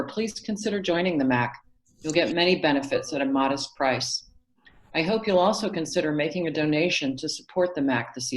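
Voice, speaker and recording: Lady with a low voice reading a prepared welcome speech. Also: rough recording. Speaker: arts center board president. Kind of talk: scripted welcome address.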